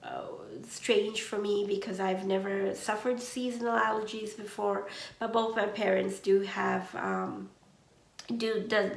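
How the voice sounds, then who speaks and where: A woman hosting a knitting podcast from home, chatting slowly with many ums, her voice a little congested from allergies. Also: mid-range voice, creaky, roomy sound. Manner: slow, gentle, with many ums and uhs. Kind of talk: casual chat.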